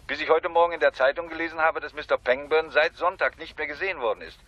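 An actor playing an earnest, slightly hesitant witness giving a report in a crime radio play, in muffled sound. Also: male voice, a little high, fast, a bit bright, close to an everyday voice. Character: plain, cooperative male witness reporting to detective.

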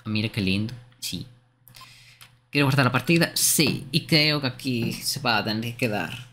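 A man's voice: medium pitch, expressive, unhurried, bright, forceful tone, a little hiss or hum. Livestream host, man, middle register, informal and conversational. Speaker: streamer, host. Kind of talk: casual livestream chat.

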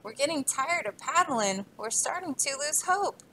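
A chorus of small storybook beavers, whiny and plaintive, as a woman reading aloud puts them on. The voice climbs high and pushes louder with each complaint. Light and childlike in tone. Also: animated, a little slow, slight background noise, rough recording. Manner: wailing, plaintive. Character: crowd of frightened little beavers, whiny.

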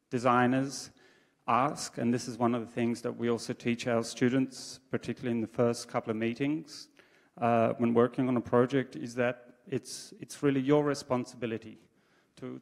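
A man, giving a conference talk on stage into a handheld mic, measured and thoughtful with frequent ums and restarts. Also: mid-range voice, fairly even intonation, vocal fry. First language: English. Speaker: conference speaker. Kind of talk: conference presentation. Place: dark theatre stage with projection screen. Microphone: handheld microphone. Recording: long reverb of a large theatre hall.

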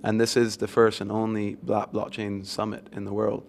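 Low gravelly man from Barbados. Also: monotone, a little hiss or hum. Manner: measured, reflective, many ums. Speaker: interview guest. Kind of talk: conversational interview answers. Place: event venue with windows, seated on sofa. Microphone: handheld mic.

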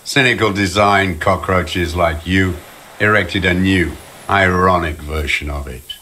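An actor playing a bitter, weary old communist deserter in a video game, ranting with disdain. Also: male voice, deep voice, sharp tone, a little hiss or hum. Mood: scornful. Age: older. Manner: embittered, ranting, slow deliberate delivery. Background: music.

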